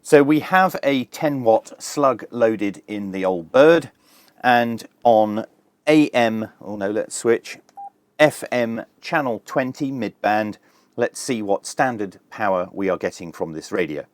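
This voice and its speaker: A man's voice: fairly low pitch, lively intonation, takes it slowly, no room sound. A man presenting a casual hands-on product review, plain-spoken and methodical, with dry humour.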